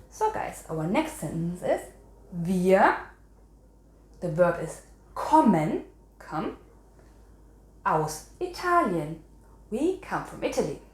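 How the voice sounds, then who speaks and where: A woman language teacher presents a quiz lesson in a roomy, echoing space. She speaks slowly and instructively, with pitch that rises and falls in an animated way. Example sentences are repeated and carefully enunciated. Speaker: language teacher and channel host.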